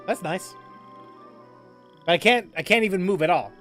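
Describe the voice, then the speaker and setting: A man's voice: sits high, normal speed, a bit bright. A young man giving casual gameplay commentary as a streamer, rambling, repeating himself and laughing often. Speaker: game streamer. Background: game music.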